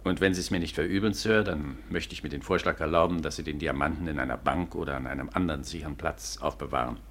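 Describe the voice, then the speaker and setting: An earnest police inspector in a radio play. His voice is very deep. He speaks formally and courteously, like an official. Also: steady tone, fast talker, fairly bright tone. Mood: deferential, concerned. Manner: formal, deferential, matter-of-fact. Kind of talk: 1950s German radio play acting.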